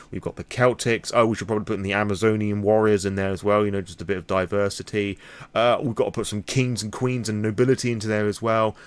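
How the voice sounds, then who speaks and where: A British man hosting a vlog, explaining things in a casual, wordy, frank conversational way. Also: on the low side. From Britain. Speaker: host of a lore channel.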